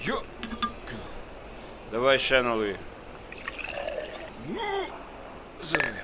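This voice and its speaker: Slow, drawling cartoon drunkard. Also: male voice, mid-range voice, big pitch swings. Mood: tipsy, insistent. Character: slurring, opinionated market drunkard. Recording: loud street and traffic noise.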